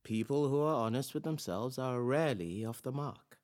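An actor playing a forceful philosophy professor in an audio drama, lecturing in an emphatic, theatrical and blunt manner. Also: mid-range voice, moderate pace, natural voice. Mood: cool, detached.

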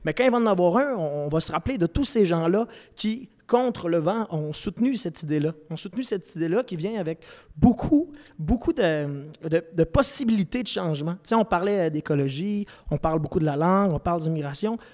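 Young man, Québécois accent, high-pitched, earnest, halting, restarts often, long hall reverb. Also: animated, darkish tone. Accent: Québécois French. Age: young adult. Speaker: singer-songwriter guest. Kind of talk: panel discussion before a live audience.

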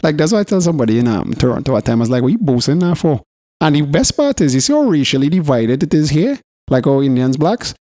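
A man with a Trinidadian accent co-hosting a podcast, bantering in casual slang and telling stories in an animated, coarse, sweary way. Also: on the high side, fast, no room sound, studio quality. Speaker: podcast co-host.

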